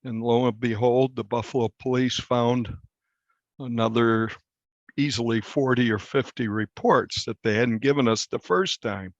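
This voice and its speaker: Reporter guy explaining stuff in an interview, gravelly fry, halting delivery, kinda muffled audio. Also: medium pitch, fairly even intonation, dry recording. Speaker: newspaper reporter being interviewed. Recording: dull, slightly muffled lo-fi sound.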